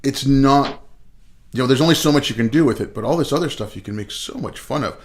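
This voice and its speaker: A man's voice: medium pitch, fast. A man born in India and raised in Canada, a guest talking casually and irreverently, piling up examples. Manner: chatty and irreverent, piles up examples. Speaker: guest, comedy video maker. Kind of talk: casual conversational interview.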